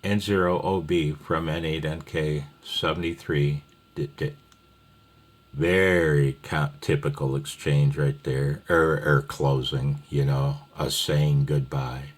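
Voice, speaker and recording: A man's voice: very deep voice, little pitch movement, takes it slowly. A man giving an informal, rambling tutorial as a hobbyist instructor, folksy and apologetic as he thinks aloud. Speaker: amateur radio hobbyist teaching Morse code.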